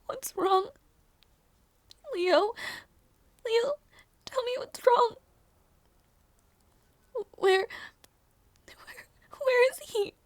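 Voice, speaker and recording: A woman playing a gentle, anxious young princess in an intimate audio roleplay drama, speaking softly and haltingly. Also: very high voice, no room sound, full character voice. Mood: pleading, tearful. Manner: soft, hesitant, halting delivery.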